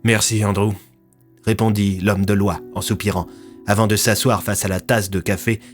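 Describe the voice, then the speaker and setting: A man's voice: fairly low pitch, little pitch movement, normal speed, faint music behind. A man narrating a scripted audio fiction as its storyteller.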